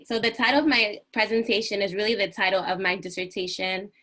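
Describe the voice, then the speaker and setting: A young woman from the United States gives a prepared talk over a video call. Her voice is bright and clear, and her delivery is earnest and reflective, the way a lecturer speaks to a class. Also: mid-range voice, fairly even intonation, fairly quick, rough recording. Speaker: panel speaker.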